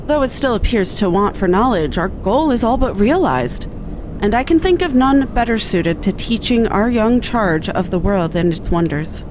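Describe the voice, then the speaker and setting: A woman's voice: medium pitch, expressive, normal speed, noisy background, natural voice. A woman voicing a bookish, earnest researcher with formal, precise speech in a game read-aloud. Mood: composed, lofty. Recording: music behind the voice.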